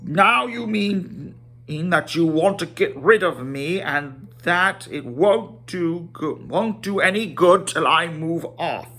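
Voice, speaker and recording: A man performs an old storybook wizard, imposing and indignant, with a teasing edge. He declaims slowly and stretches key words, his pitch raised and lively. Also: exaggerated voice. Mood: irritated. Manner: emphatic, drawn-out, declamatory. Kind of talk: dramatic storybook reading.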